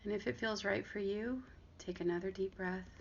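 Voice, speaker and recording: A woman leads a guided body scan in an even, low-set voice with plenty of fry. She speaks gently and leaves long pauses between instructions. The audio is dull, with noticeable background noise. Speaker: meditation guide and therapist.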